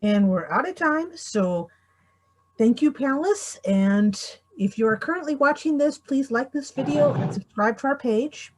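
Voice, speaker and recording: A woman with a somewhat high voice speaks as a panel moderator. She delivers plain announcements and thanks to viewers and panelists. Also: animated, a little slow.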